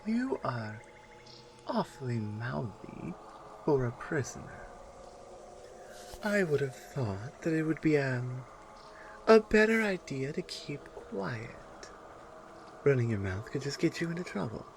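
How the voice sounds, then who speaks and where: A woman playing a proud, stern Amazonian warrior in an ASMR audio roleplay, speaking to a prisoner in a measured, commanding way with deliberate pauses. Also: extremely low pitch, big pitch swings, background noise, exaggerated voice. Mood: stern, warning.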